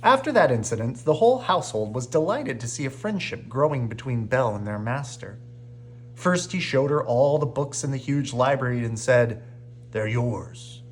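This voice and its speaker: A man reading a children's bedtime story aloud with expressive narration. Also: medium pitch, normal speed. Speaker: storybook reader.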